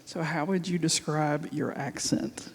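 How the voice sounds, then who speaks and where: A man's voice: fairly high pitch, steady tone, measured pace. A man hosting a library interview, asking questions in a playful, informal way. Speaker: event host. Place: library event hall. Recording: reverberant hall.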